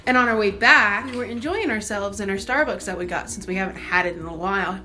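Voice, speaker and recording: A young woman vlogger telling a personal story in a casual, animated and emphatic, indignant way. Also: mid-range voice, quick pace, soft background music.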